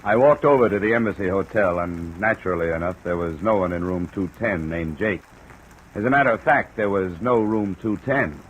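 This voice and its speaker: An actor playing a tough, wry American private investigator in an old radio noir drama, narrating and talking tersely. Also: male voice, sits low, brisk, muffled recording, natural voice. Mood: wry, grim. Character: hardboiled male private investigator, dogged and dry. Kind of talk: 1950s radio noir acting and first-person narration. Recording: noisy old radio recording, steady hiss.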